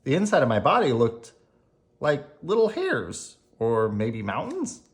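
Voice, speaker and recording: An adult reading a picture book aloud to children in an expressive storytelling style. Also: mid-range voice, a little slow.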